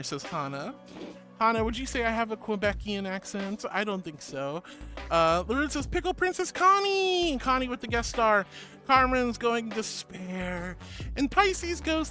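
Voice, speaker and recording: A woman's voice: mid-range voice, fairly bright tone. Affectionate woman streamer over background music. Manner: affectionate, calls viewers darling, honey and sweetie; playful and giggly. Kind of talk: casual livestream chat reading comments.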